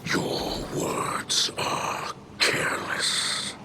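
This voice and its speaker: A solemn alien elder from a video game, speaks slowly and formally, as a weary leader and father would. Every phrase is weighty and grave. Game ambience runs noticeably behind the voice. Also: background noise. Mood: guarded. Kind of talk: video game cutscene voice acting.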